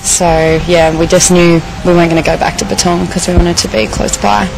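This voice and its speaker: A woman with a fairly low voice and plenty of vocal fry gives casual interview answers. Her delivery is informal and conversational, and music plays behind her. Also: fairly even intonation, brisk, noisy background. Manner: informal, conversational, uses "you know" often. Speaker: stranded tourist interviewee.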